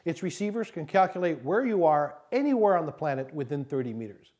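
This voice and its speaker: Higher-voiced man narrating safety instruction. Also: a little mellow.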